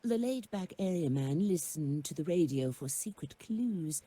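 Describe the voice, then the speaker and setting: A woman narrating in a dry storybook manner, her voice low, very dark in tone and slightly husky. It sits close and dry, with no room sound. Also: animated, measured pace, no room sound. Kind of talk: scripted comedic narration.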